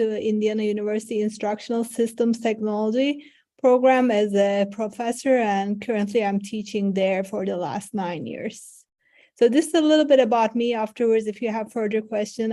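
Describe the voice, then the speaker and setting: A woman's voice: on the high side, dark tone. A middle-aged woman from Turkey, a professor giving a friendly online conference talk over a video call. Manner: friendly, explanatory, many fillers.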